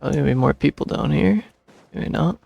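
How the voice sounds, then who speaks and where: A man's voice: fairly high pitch, lively intonation, fairly quick, creaky, slightly rough, muffled recording. A man streaming a video game, giving casual commentary and reading game text on a close microphone. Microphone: close streaming microphone, dry. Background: game music.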